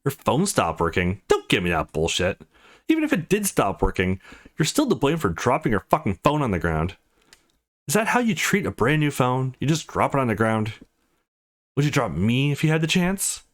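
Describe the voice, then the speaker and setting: A man's voice: mid-range voice, huge pitch swings, moderate pace. A man voicing an angry, foul-mouthed young woman in a visual novel, scolding and accusing emphatically. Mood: furious. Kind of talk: visual novel character reading.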